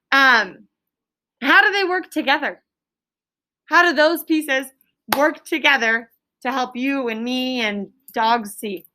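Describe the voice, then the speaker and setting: A woman's voice: fairly high pitch, sharp tone, rough recording. A woman elementary school teacher leading an interactive lesson, asking questions and repeating answers with emphasis in an instructive way.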